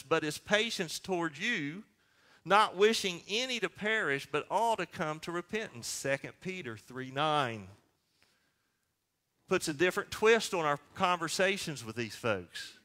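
A man's voice: high-pitched, expressive, measured pace, sharp tone. A man in his sixties preaching a sermon as pastor, speaking emphatically and posing questions that he answers himself. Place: church sanctuary.

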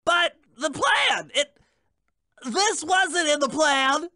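A very high, bright, nasal voice for a male turtle in a video game. He is a bookish, anxious tech whiz with a fussy way of talking. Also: expressive, slow, no room sound, clean studio sound, heavily acted.

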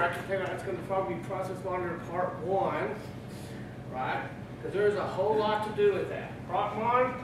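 A middle-aged man giving a casual technical presentation to an audience, often checking in with "right?". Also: high voice. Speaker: presenter at a user group meeting. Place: large meeting room with audience. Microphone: room recording from a far camera. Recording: very echoey room, distant, noisy.

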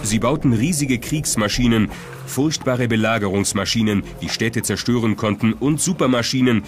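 A man's voice: medium pitch, normal speed. A man reading scripted documentary narration. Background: music.

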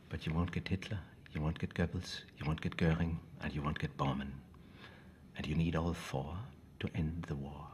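A low-voiced German officer for a war film. He is urbane and smug, turning precise, courteous phrases into threats. Slight noise sits behind the dialogue. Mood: coolly threatening. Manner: polite, theatrical, precise, savouring phrases. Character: urbane, smug, menacing Nazi officer negotiating coolly.

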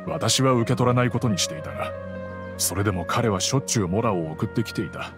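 Middle-aged wealthy patriarch played by a man, low voice, composed and fatherly, reflective delivery, anime game drama, faint music underneath. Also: natural voice. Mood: grave, regretful. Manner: measured, gentle, reflective. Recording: soft music behind the voice.

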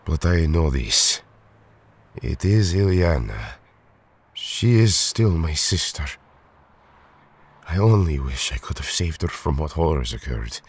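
An actor playing an earnest, protective big-brother superhero in an audio drama, speaking formally and solemnly. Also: male voice, extremely low pitch, sing-song, takes it slowly, long pauses, slightly rough, exaggerated voice. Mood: solemn, sorrowful. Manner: formal, uncontracted speech. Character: earnest, solemn foreign strongman hero, protective older brother.

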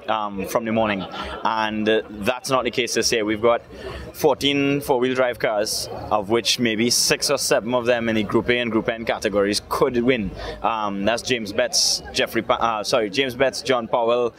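A man in his thirties with a Trinidadian accent, a rally club president giving a relaxed interview outdoors, with many pauses and fillers. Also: mid-range voice. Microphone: handheld interviewer mic. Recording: outdoor event with crowd noise. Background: crowd chatter.

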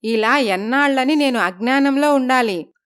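A woman's voice: high voice, normal speed, fairly bright tone, clear, resonant tone. A woman voicing a worried young wife who reproaches her husband in a storybook tale. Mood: reproachful. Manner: reproachful, pleading. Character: wronged young first wife, anxious.

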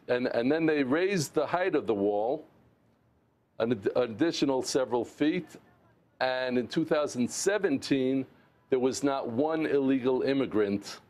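Man, 60s, from the United States, remote interview guest, earnest, halting restarts, lapel mic. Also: mid-range voice, darkish tone. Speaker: interview guest, former mayor. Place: remote studio.

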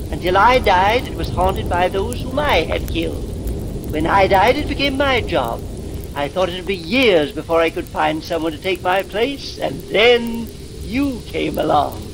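An actor playing the smugly polite ghost of a murderer in a 1940s radio drama, speaking deliberately and mockingly. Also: male voice, high voice, lively intonation, gravelly, heavily acted. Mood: gloating. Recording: noisy vintage 1940s radio recording.

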